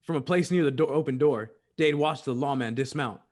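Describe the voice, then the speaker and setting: A man's voice: medium pitch, fairly quick, a little mellow, close to an everyday voice. A man narrating an old-time western radio drama in a dramatic, scripted storytelling style.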